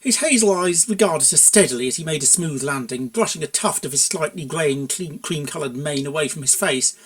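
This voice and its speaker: A narrator reading a scripted story aloud in an expressive style. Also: medium pitch. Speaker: narrator, fan fiction reader.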